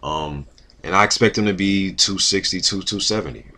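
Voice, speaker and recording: A man's voice: low-pitched, normal speed, pushed and bright. A man giving casual, emphatic sports commentary as a vlog host, in informal slangy speech with some swearing. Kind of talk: casual opinion commentary, reading quotes aloud.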